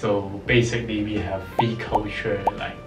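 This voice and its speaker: Deep-voiced man, flat tone. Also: moderate pace. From Hong Kong. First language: Cantonese. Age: young adult. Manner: brief, simple phrasing, soft agreement. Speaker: co-host, husband. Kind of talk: casual chat to camera. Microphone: far camera mic. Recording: echoey room, distant mic, music behind.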